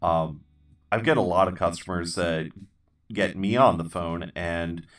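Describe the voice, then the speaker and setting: Slow, very low-voiced man, distant mic. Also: soft background music. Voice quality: voice others call good for voiceover. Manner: halting, many ums and restarts, self-deprecating jokes. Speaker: channel host. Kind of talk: casual rambling chat while building.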